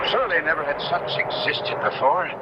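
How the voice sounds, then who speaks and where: A man's voice: fairly high pitch, animated, moderate pace, lightly acted. An actor playing a tormented young nobleman, narrating his story in a brooding, formal, theatrical radio horror style. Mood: alarmed, amazed. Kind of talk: dramatic first-person radio horror narration. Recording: muffled, very noisy 1970s radio broadcast.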